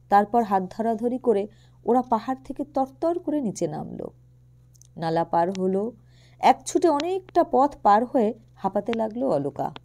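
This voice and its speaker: Mellow-voiced woman narrating a story. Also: medium pitch. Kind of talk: expressive literary audio story narration.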